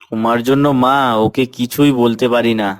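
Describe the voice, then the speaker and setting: A man's voice: medium pitch, steady tone, normal speed, smooth, natural voice. Casting: plain-spoken, worried rural father man acting, earnest and homely, middle register, for a cartoon tale. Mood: mild scolding.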